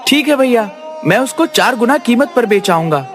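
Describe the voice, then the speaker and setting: A woman's voice: a little high, lively intonation, moderate pace, lightly acted. A woman voicing a sly, self-serving young boy in a children's cartoon story. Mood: scheming. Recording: music behind the voice.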